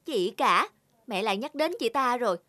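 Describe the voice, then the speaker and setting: A woman's voice: fairly high pitch, expressive, normal speed, fairly bright tone, no room sound. A woman voicing a spoiled, jealous, rude young stepsister in a children's cartoon, whiny and snappish. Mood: jealous, annoyed.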